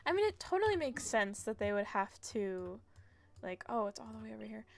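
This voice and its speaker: A woman's voice: on the high side, very animated, fairly quick, gravelly, slight background noise. A young woman streaming a video game, rambling, giggling and swearing casually as she plays. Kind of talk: casual gameplay commentary.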